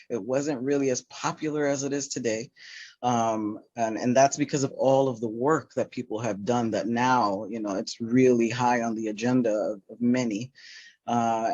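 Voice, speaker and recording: A man speaking on a panel talk as a nonprofit founder, halting, with many fillers and restarts. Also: medium pitch, a little mellow, no room sound, lo-fi sound.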